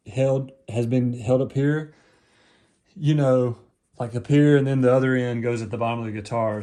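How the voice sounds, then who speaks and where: A deep-voiced man from East Texas talks in a loose, folksy ramble. He fills his pauses with ums and uhs as he explains a home project. His pitch sits right in the middle for a man. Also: darkish tone. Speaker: vlogger. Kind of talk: casual home how-to video.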